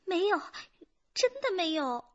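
Young telephone operator: piercingly high, swooping, insistent, radio drama with dulled audio. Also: female voice, big pitch swings, a little slow, heavily acted. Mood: defensive. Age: young adult. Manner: insistent, repetitive, defensive. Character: petite young switchboard operator, defensive, flustered denier. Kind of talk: Chinese radio drama acting. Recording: muffled band-limited radio drama audio.

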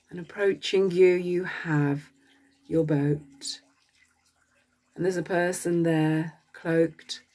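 A woman narrating a guided meditation as a coach, speaking gently in short phrases with long pauses between them. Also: sits low.

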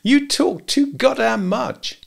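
A man's voice: extremely high pitch, takes it slowly, vocal fry, pitched above the performer's own voice, full character voice. A man voicing a small, bossy gangster hitman who barks curt, contemptuous orders. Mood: sneering.

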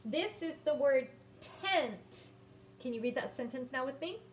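A woman in her fifties teaching young children, sounding out words and reading aloud in a deliberate, encouraging way. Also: high-pitched, lively intonation. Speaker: early-grades teacher. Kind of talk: children's phonics lesson and read-aloud. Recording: roomy, distant mic, background noise.

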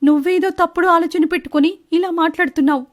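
A woman's voice: extremely high pitch, fairly even intonation, quick pace. A woman voicing a deceitful female bird wife in a cartoon story, defensive and protesting. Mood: indignant. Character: Chinnu, deceitful married female bird, indignant and evasive. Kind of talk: animated Telugu moral-story dialogue.

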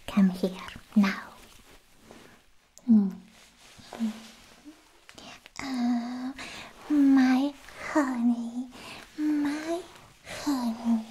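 A woman playing a clingy, lovestruck alien girlfriend in a soft-spoken ASMR roleplay, cooing in a breathy near-whisper close to the mic. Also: high voice, slight background noise. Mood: adoring, coy. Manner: cooing, drawn-out, doting. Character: clingy, lovestruck female alien girlfriend, sweet and slightly obsessed. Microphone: close sensitive microphone.

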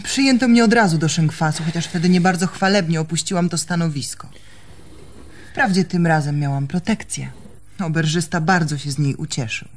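An actress playing a proud, reproachful young barmaid and jilted fiancée in a radio drama, speaking accusingly and emphatically. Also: female voice, low-pitched, brisk, a little hiss or hum, natural voice. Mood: cold, defiant.

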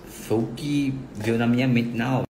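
A man's voice: mid-range voice, fairly even intonation, normal speed, a little mellow, slight background noise, rough recording. Chatty young man filming a selfie Q&A. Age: 20s. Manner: chatty, affectionate, playful. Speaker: social media influencer. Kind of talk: casual selfie Q&A. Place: indoors at home, bedroom and kitchen. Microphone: phone at arm's length.

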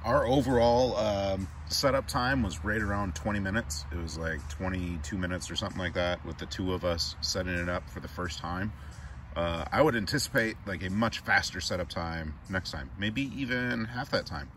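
A man in his thirties reviewing a camping hammock in a relaxed, chatty way with many fillers, recorded outdoors in the woods. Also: deep voice, normal speed. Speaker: channel host reviewing gear. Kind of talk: casual product review. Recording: distant outdoor camera mic, light noise.

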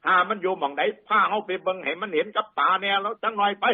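Man as a fable monkey, curious, sceptical, coaxing, Isan accent, husky, dull lo-fi sound. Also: sits high, fast talker, pitched above the performer's own voice. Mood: eager coaxing. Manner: questioning, insistent, coaxing.

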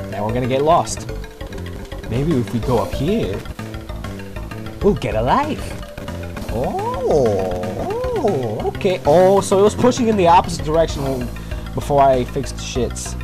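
Slangy young-sounding man, animated game commentary. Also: fairly high pitch, takes it slowly, pauses often, over music. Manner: slangy, rambling, complaining. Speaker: gamer making a playthrough video. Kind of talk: casual, profane game commentary.

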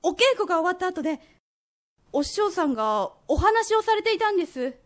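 A woman plays a young merchant's daughter in a period radio drama. Her voice is extremely high and lively in its swings, her words polite and guarded. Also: a little fast. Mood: apologetic, guarded. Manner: polite, formal.

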